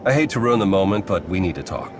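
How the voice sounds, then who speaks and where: Determined agent in a video game, deep voice, plain talk, wont back down. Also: male voice, expressive, fast, noisy background. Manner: plain-spoken, defiant. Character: stubborn, casual male agent, determined and loyal. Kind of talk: video game cutscene acting.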